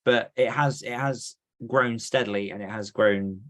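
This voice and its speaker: A man's voice: lowish voice, a little slow, a bit bright. A man hosting a podcast, explaining things earnestly in casual conversation. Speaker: podcast host.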